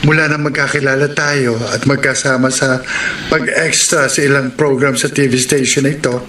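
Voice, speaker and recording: A man's voice: medium pitch, fairly even intonation, moderate pace, pushed and bright, close to an everyday voice. An actor playing a chatty, teasing young man who pushes for answers in a Filipino radio romance drama. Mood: skeptical, teasing. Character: chatty young male TV extra, teasing matchmaking cousin. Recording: roomy, distant mic, noisy background.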